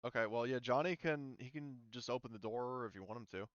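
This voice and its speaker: A man's voice: mid-range voice, brisk, fairly bright tone, no room sound, highs cut off. A man acting as game master, casually thinking aloud as he talks players through rules and turns. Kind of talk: casual tabletop game narration and rules talk.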